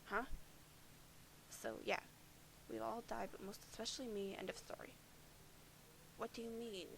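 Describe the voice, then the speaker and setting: A woman's voice: fairly high pitch, little pitch movement, normal speed, gravelly, a little hiss or hum, low-quality recording. A woman voicing a bitter, foul-mouthed alien troll boy who sees himself as a prophet of doom, sardonic and defensive.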